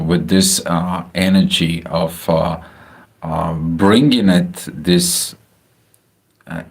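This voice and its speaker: A man with a Bulgarian accent talking casually about astrology on a livestream, halting with many filler sounds and restarts. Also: sits low. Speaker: astrology channel host. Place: home, house in Spain.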